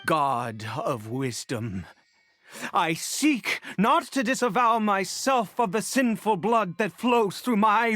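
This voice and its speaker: A desperate, theatrical grieving father, swooping pitch. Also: fairly bright tone, no echo, exaggerated voice. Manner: theatrical, formal archaic diction, halting solemn delivery. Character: desperate grieving father, proud, bitter, devoted exile and alchemist. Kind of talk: dramatic video game voice acting.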